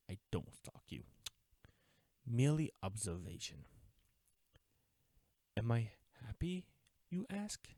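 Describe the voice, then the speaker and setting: An actor playing an uptight government agent in an audio roleplay, speaking formally and deliberately, smug yet mourning a lost friend. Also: male voice, lowish voice, warm tone, no echo. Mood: accusing, then conflicted, mournful. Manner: formal, deliberate, taunting with wistful undertones. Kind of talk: scripted audio roleplay drama, addressing the listener.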